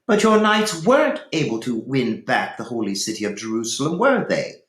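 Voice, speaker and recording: Man putting on a fiery noblewoman, high-pitched. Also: very animated, moderate pace, a bit bright. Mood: taunting. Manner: taunting, indignant. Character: proud, fiery young Saxon noblewoman. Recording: roomy, echoey room.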